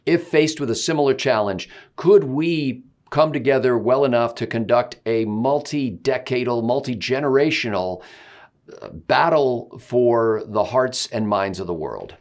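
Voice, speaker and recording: A man with an American accent asking interview questions in a measured, formal and wordy way. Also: medium pitch, darkish tone.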